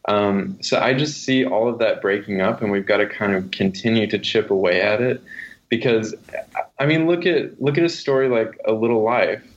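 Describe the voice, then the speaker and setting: A man's voice: fairly low pitch, moderate pace. A man speaking conversationally as a guest author being interviewed, on a slightly dull recording.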